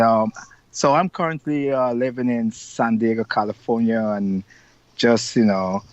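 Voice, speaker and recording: A man's voice: on the low side, a little mellow. A middle-aged man from Guyana with a Guyanese accent, talking as an interview guest in an upbeat, rambling way with many fillers. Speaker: carnival organizer, interview guest. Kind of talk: casual interview over video call. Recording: dull lo-fi video-call audio, dry.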